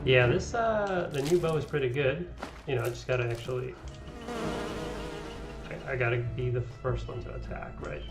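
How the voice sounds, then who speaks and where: A man's voice: mid-range voice, normal speed, long pauses. Man casually commentating gameplay in an echoey room. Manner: thinking aloud. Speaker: gaming video creator. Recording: roomy echo under loud game music.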